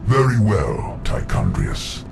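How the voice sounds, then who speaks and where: A man playing a colossal, haughty, merciless demon lord in a video game, with a gravelly voice and grandiose, menacing delivery. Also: wildly swooping pitch, full character voice. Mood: imperious. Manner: booming, grandiose, slow and menacing. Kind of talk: video game cinematic acting. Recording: loud music behind.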